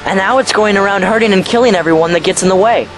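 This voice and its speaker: A man's voice: high voice, fast talker, background noise, natural voice. A performer tagged male playing a troubled, defiant, guilt-ridden young boy, stubborn and earnest, in an audio drama. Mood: defiant, bitter. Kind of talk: fan audio drama acting.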